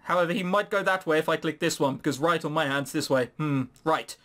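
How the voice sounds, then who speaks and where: A man's voice: fairly high pitch, steady tone, brisk, a bit bright. A man giving casual, sarcastic gaming commentary with some swearing, sometimes reading game text aloud. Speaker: let's play host.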